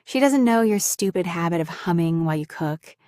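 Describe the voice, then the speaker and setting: Casting: woman to play clingy, jealous young female roommate, lovestruck; lowish register, halting confessional delivery, very dry intimate ASMR sound. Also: expressive, a little mellow, no echo, lightly acted. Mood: wistful, possessive. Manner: halting, confessional, addressing the listener directly. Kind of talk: intimate ASMR roleplay acting.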